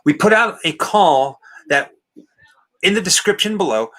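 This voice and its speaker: A man with a booming deep baritone voice, co-hosting a live movie watch party in casual, apologetic chat. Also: animated, a little slow, fairly bright tone. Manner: apologetic, chatty, reads out viewer names and thanks.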